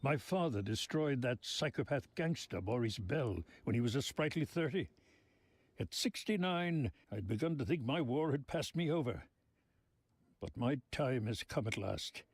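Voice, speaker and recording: Husky, theatrical old mob boss. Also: male voice, mid-range voice, expressive, no echo. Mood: grandly knowing. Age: older. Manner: florid, theatrical, deliberate phrasing. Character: theatrical, genteel old male mob boss, sly and verbose. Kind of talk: video game voice acting.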